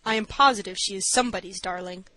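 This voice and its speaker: A woman voicing a kindly older male judge in an audiobook, authoritative and deliberate, by turns stern and tender. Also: mid-range voice, bright, forceful tone, lightly acted.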